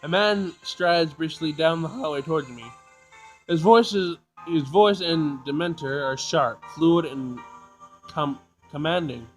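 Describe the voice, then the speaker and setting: A man with a fairly high voice narrates a video game as he plays it. He reads dramatically, trips over words and rereads them, and slips into casual commentary, with game music behind him. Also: a little slow, rough recording. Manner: expressive reading, stumbles and rereads. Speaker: gaming video host. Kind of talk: let's play, reading game text aloud dramatically with commentary.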